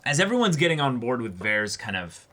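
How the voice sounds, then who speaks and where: Male co-host, animated mid-range voice, casual unscripted anime commentary. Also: medium pitch, fairly quick. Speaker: co-host of an anime reaction discussion.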